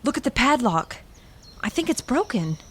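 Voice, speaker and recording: A woman's voice: fairly high pitch, big pitch swings, normal speed, fairly bright tone. A woman playing an uneasy but curious wife in a radio horror play, hesitant and full of wonder. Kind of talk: radio horror drama acting. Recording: reverberant, noisy radio drama recording.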